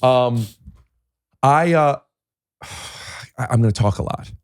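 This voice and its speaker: A man hosting a podcast in casual conversation, talking in halting stops and restarts with many fillers. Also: medium pitch, dry recording, studio quality. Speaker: podcast host.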